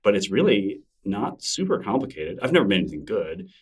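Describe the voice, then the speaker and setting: A man's voice: lowish voice, sing-song, a little fast, slightly rough, far from the mic. A man guest talking casually and enthusiastically in a library room, rambling with many fillers. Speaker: interview guest. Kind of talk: casual conversation. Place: public library room with a conference nearby.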